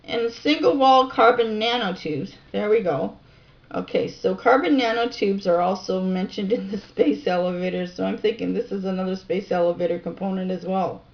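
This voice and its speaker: A woman reading reference text aloud in a deliberate, informal way, with casual musing asides, on a home recording. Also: medium pitch, creaky, dull audio. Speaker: amateur video researcher and narrator.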